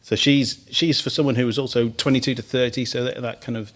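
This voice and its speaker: A man's voice: mid-range voice, fairly even intonation, brisk. A man in his fifties hosting a radio podcast in a studio. He talks casually, laughs easily and often restarts his phrases. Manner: chatty, frequent laughs and restarts.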